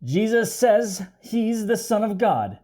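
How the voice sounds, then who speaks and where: A man's voice: sits high, sing-song, slow, dark tone, voice pushed up, exaggerated voice. A man voicing angry, scheming temple priests in a children's storybook, stern, indignant and emphatic. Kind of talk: children's storybook read-aloud.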